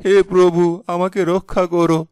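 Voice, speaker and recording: A man's voice: sits high, little pitch movement, measured pace, darkish tone, smooth, dry recording, studio quality. A man playing a put-upon young villager in a cartoon fairy tale, speaking in a plaintive, pleading way. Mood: despairing, pleading.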